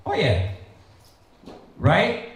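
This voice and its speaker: A man's voice: fairly high pitch, wildly swooping pitch, extremely slow. An American man preaching and teaching scripture in a confrontational street-talking style, firing rhetorical questions at his listeners. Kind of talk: fiery teaching sermon with call and response. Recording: very echoey room, faint noise.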